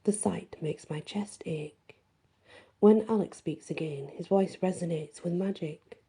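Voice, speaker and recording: A woman's voice: lowish voice, unhurried, warm tone, slightly rough. A woman storyteller reading scripted first-person fantasy narration in an ASMR style.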